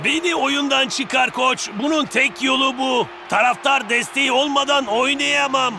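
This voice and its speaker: A man voicing a vain, dramatic male star footballer in an animated cartoon dub. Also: extremely high pitch, moderate pace. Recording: loud music and crowd behind.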